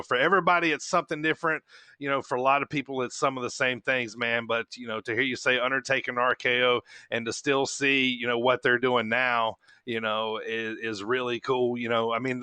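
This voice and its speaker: A man's voice: medium pitch, pushed and bright, smooth, no echo. A man hosting a wrestling podcast in a loose, rambling way, padding his talk with "man" and "you know". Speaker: podcast host. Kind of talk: casual wrestling podcast chat.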